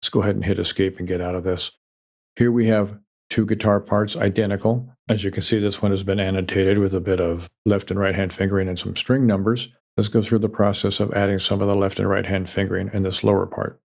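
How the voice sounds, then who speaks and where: Low, gravelly male tutor; calm, methodical walkthrough of software steps. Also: a little fast. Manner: calm, methodical, step-by-step explanation. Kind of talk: screen-recorded software tutorial.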